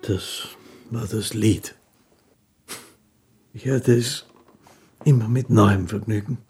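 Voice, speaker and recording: An actor playing a poor, gentle, devout old fiddler who recalls his life in a halting, reverent monologue for a radio play. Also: fairly low pitch, faint music behind. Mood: tender reminiscence.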